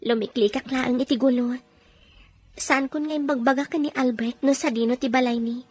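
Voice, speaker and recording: A woman as a high, husky-voiced regretful young mother. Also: steady tone, moderate pace, darkish tone. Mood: resigned, defensive. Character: regretful, resigned young mother working abroad, former secretary. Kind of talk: amateur audio drama acting.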